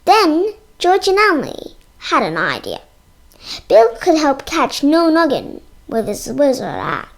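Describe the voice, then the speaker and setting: A female reader narrating a children's storybook aloud, haltingly, stumbling over words and sounding them out. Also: high-pitched, huge pitch swings.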